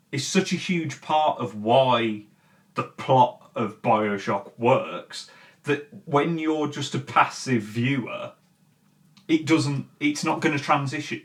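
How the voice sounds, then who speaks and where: A man hosting an opinionated commentary show, talking conversationally and emphatically in an informal, mildly sweary way. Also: mid-range voice. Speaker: host of a film and games commentary show. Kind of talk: opinionated video essay talk, conversational.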